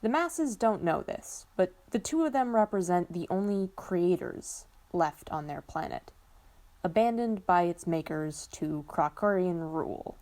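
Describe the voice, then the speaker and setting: A woman's voice: fairly low pitch, lively intonation, a little slow, warm tone. A woman reading scripted fiction as a narrator, in a dramatic storytelling style.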